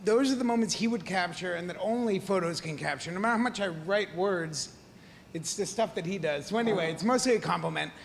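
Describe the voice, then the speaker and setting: A man's voice: high-pitched, fairly quick, gravelly. A man, a fellow journalist in the audience, giving an earnest and reflective remark at a panel. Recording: reverberant hall, faint noise.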